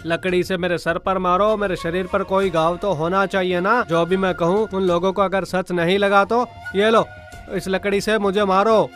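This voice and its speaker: A man's voice: sits high, little pitch movement, normal speed, over music, close to an everyday voice. A man playing a scheming, impatient merchant's servant in a moral-story cartoon, bossy and conspiratorial.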